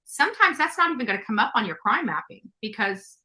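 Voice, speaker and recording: A woman's voice: a little high, big pitch swings, quick pace, sharp tone. A woman hosting a talk show, speaking conversationally in an emphatic, advising way to a guest and audience.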